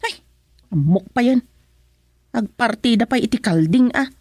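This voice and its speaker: Woman acting as fretful ilocano wife in radio comedy, highish voice, always admonishing, dry close sound. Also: expressive, a little slow, darkish tone, slightly rough, no room sound. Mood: complaining, worried.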